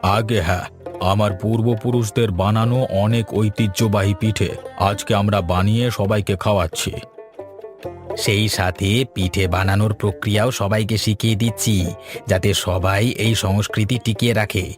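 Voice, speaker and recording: A man's voice: lowish voice, little pitch movement, moderate pace, natural voice. A man voicing a genial village grandfather in a family cartoon, speaking in a hearty, folksy way. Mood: welcoming, proud. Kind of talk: Bengali cartoon family story dubbing. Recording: music behind the voice.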